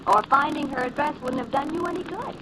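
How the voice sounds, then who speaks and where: A woman's voice: high voice, fast, lightly acted. An actress playing a detective's inquisitive female sidekick, eager and teasing, in 1940s radio drama style. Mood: admiring, teasing. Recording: noisy vintage 1940s radio recording.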